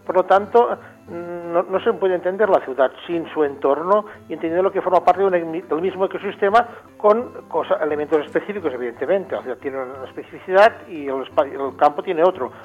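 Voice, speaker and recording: A man answers as a radio guest in a fairly high voice. He explains haltingly, repeating words as he searches for the next one, with faint music behind him. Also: narrow, tinny audio. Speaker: interview guest, agricultural engineer. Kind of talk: spontaneous interview answers. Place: remote call into a radio programme.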